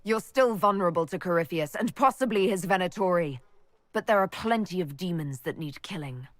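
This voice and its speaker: A woman's voice: lowish voice, expressive, brisk, lightly acted. An actress playing a resolute woman who leads a holy order, speaking in a composed, authoritative way in a game cutscene. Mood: firm, decisive. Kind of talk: dramatic game cutscene dialogue.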